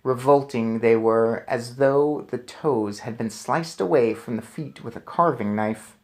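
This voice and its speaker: A man reading a children's book aloud as a storyteller, with expressive, deliberate phrasing. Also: medium pitch. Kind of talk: expressive children's book narration with casual asides.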